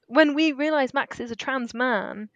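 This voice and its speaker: A woman's voice: high-pitched, no echo, lo-fi sound. A woman co-hosts a film podcast in a chatty, emphatic way, circling back and restarting her thoughts as she talks. Her voice sits mid-range and sounds dry and close to the mic. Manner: chatty, emphatic, quick to laugh. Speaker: podcast co-host. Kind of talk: casual film discussion.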